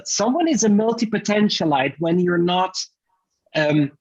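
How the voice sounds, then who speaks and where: A man's voice: high-pitched, animated, a little slow, highs cut off. A man from the Netherlands, a guest on a casual video call chat, talking in an upbeat, enthusiastic way.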